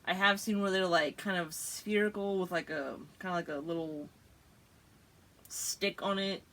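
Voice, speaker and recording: A woman's voice: on the low side, steady tone, moderate pace, a bit bright, slight background noise. A woman hosting a casual chat, explaining things in a giggly, chatty way with plenty of fillers. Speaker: podcast host.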